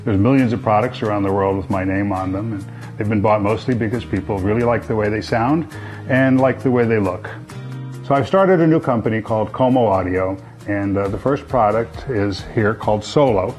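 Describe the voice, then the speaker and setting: A man in his sixties with a fairly low voice presents a product he created. He explains it in a measured, semi-scripted way, speaking to camera over guitar music. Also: a little mellow. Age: 60s. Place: living room with sofas, indoors.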